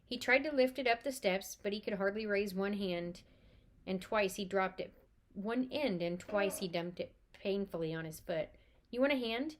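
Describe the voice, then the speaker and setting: A woman's voice: medium pitch, normal speed, a little hiss or hum. A woman reading a children's novel aloud as storyteller, expressively and with animation.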